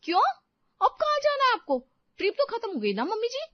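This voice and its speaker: A woman voices a young working mother in a family tale. Her high voice is anxious and full of complaint. The sound is dry and slightly muffled. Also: wildly swooping pitch, a little fast, dry recording, exaggerated voice. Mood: worried, protesting. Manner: protesting, incredulous.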